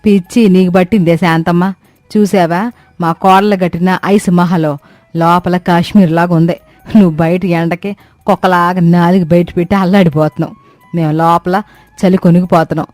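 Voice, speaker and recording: A woman's voice: fairly low pitch, steady tone, moderate pace, a little mellow, dry recording, soft background music, close to an everyday voice. A woman voicing a bossy, stingy village mother-in-law in a cartoon story, with a scolding, exclamatory manner. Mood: scornful. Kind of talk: Telugu comic cartoon story acting.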